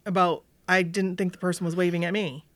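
A woman's voice: fairly low pitch, a little fast, no room sound, studio quality. A woman co-hosting a casual podcast chat, telling stories with lots of fillers, a little sniffly from a cold. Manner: chatty, animated storyteller with many fillers. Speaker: podcast co-host. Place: basement room.

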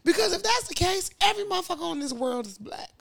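A woman's voice: extremely high pitch, lively intonation, fast, creaky, a bit bright. A woman of Nigerian origin raised in America, giving blunt, slangy opinions in casual podcast chat.

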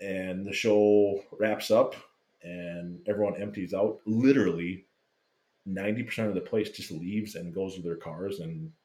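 Low male storyteller, casual and profane, roomy podcast mic. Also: unhurried. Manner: animated, swearing, acts out quotes. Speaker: podcast guest telling a fan story. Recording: roomy echo.

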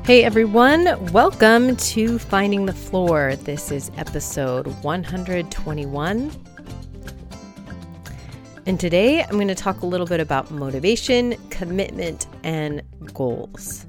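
A woman's voice: fairly low pitch, expressive, takes it slowly, soft background music. Chatty midlife woman with a slight cold. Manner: chatty, rambling, with soft laughs. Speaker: podcast host. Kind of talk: casual solo podcast chat.

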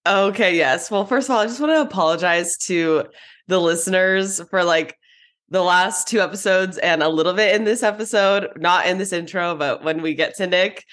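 A woman's voice: lowish voice, normal speed, a bit bright. Giggly young woman chatting on a podcast, bubbly and gushing, lots of filler words. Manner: bubbly, giggly, says like a lot. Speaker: podcast co-host and dance teacher. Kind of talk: casual chat.

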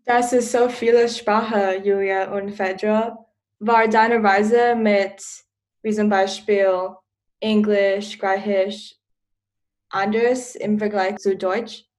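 Slow, flat teen girl. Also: medium pitch. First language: Chinese. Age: teens. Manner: careful, asks questions. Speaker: student podcaster. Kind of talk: casual chat.

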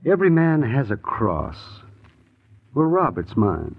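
An American actor playing a withdrawn, guilt-ridden man in 1950s radio drama, halting and guarded, on a muffled, tinny old recording. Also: mid-range voice, wildly swooping pitch, husky, heavily acted. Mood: bitter, resigned.

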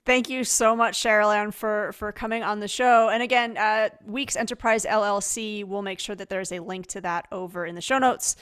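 A woman's voice: medium pitch, fairly even intonation, moderate pace, few pauses, clean tone. A woman hosting a podcast, introducing her guest and reflecting on the topic with occasional restarts. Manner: warm-toned, reflective, with fillers and restarts. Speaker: podcast host. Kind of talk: podcast interview.

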